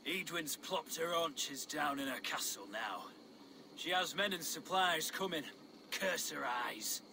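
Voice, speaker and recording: An actor playing a blunt, earthy Saxon rebel leader in a video game, plain-spoken and grimly determined. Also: male voice, on the high side, lively intonation, unhurried, vocal fry, background noise.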